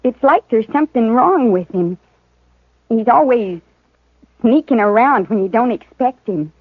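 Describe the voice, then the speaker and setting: A shy, earnest frontier girl of about sixteen, with a soft country drawl. The sound is old radio: thin and phone-like, dry and close. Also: on the high side, animated, unhurried, slightly rough, no echo, heavily acted. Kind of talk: 1950s radio acting. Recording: narrow-band vintage radio broadcast.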